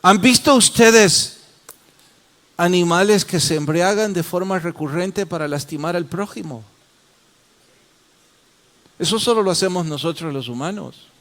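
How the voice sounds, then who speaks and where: Male pastor teaching a class: fairly high voice, unhurried, quizzes listeners by name. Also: a bit bright. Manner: questions the class and calls on students by name; slow, deliberate explanations. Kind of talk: interactive Bible study.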